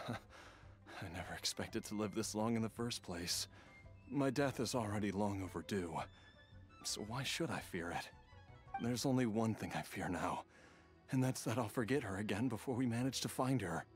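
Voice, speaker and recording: A man's voice: lowish voice, expressive, natural voice. A man playing a haunted, forgetful young man. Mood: resigned. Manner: anxious, halting, often sighing and whispering. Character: troubled young man losing his memory, desperate. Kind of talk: video game voice acting. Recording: game audio with soft music.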